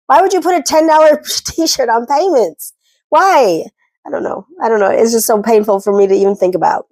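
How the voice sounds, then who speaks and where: A woman's voice: fairly high pitch, a little fast, darkish tone, dry recording. Casting: woman vlogger with a head cold, chatty and earnest, close dry sound. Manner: chatty, earnest, self-deprecating. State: congested from a cold. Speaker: personal finance vlogger. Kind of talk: casual talking-head advice. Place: at home.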